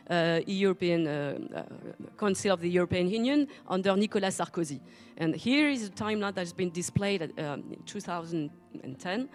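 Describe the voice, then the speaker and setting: A woman's voice: medium pitch, darkish tone. A woman giving an explanatory presentation, pointing out examples to her audience.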